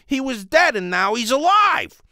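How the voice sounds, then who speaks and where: A man's voice: extremely high pitch, big pitch swings, a little slow, pushed and bright, no room sound, studio quality, voice pushed up, full character voice. A man voicing a panicky, slangy, dim working-class fellow in an old-style radio play. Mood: frightened. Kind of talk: old radio serial acting.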